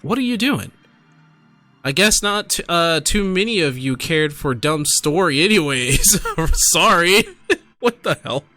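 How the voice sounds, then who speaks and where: A man's voice: a little high, lively intonation, measured pace, pushed and bright, dry recording, soft background music. A tipsy man giving casual, rambling reaction commentary as a streamer, exclaiming and addressing viewers directly. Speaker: streamer and gaming video host.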